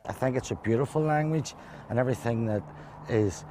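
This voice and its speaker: A man from Belfast with a Northern Irish accent, answering interview questions calmly and thoughtfully, outdoors in the city. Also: mid-range voice, a little fast, a little hiss or hum. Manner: calm, thoughtful, with small hesitations.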